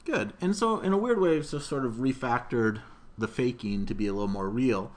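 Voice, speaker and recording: A man's voice: mid-range voice, brisk, darkish tone. A man in his thirties giving an informal programming tutorial, narrating each step aloud as he works. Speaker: programming instructor. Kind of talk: live coding tutorial, thinking aloud. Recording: noisy room mic with keyboard typing. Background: keyboard typing, room noise.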